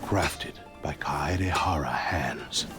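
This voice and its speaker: An actor playing the proud, solemn spirit of an ancient cursed blade in a video game, with grave, declamatory, archaic delivery. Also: male voice, a bass voice, slow pace, over loud music. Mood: solemn, fading. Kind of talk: dramatic video game acting.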